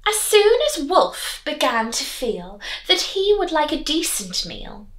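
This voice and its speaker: Animated young woman reading storytime. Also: sits high, unhurried. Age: young adult. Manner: animated, clearly enunciated. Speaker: teacher reading stories to children. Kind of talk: expressive children's storytime reading and chat. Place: small living room, on a sofa.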